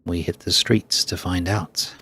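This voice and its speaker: A man's voice: low voice, steady tone, measured pace, background noise. A man voicing a florid, formal fight show presenter from a video game, announcing with theatrical deliberation. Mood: grandiose. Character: grandiose, theatrical fight show presenter addressing combat fans. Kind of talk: scripted game dialogue read aloud. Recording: faint music behind.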